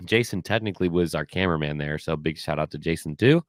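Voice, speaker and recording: Raspy low-voiced male podcast host. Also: no echo, clean studio sound. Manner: halting, many fillers and restarts. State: slightly hoarse from cheering. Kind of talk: solo casual year-in-review talk.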